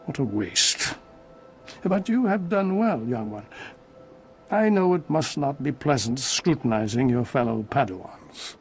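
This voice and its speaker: An actor playing a stern, formal Jedi master in a scripted video game, speaking in measured phrases. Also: male voice, mid-range voice, expressive, faint music behind. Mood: solemn. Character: grave, stern male Jedi master, disapproving of passion.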